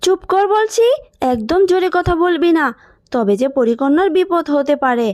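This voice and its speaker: Lady doing cartoon mom fox, high pitched, fussy n protective, scolds a lot. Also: animated, normal speed, darkish tone, no echo, clean studio sound. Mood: hushed urgency. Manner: scolding, anxious, motherly. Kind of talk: cartoon character acting.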